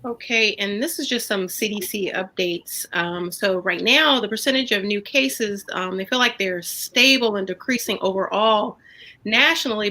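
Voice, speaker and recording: A woman's voice: mid-range voice, sharp tone, rough recording. A woman doctor from Georgia giving health updates on a panel, hesitant, with many fillers. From Georgia, USA. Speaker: family physician. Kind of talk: panel discussion.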